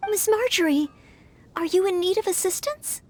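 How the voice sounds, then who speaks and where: A woman's voice: very high-pitched, moderate pace, darkish tone. A woman playing an earnest, eager young maid in a video game, speaking politely and formally. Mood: eager, helpful. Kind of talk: scripted video game character acting. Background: background music.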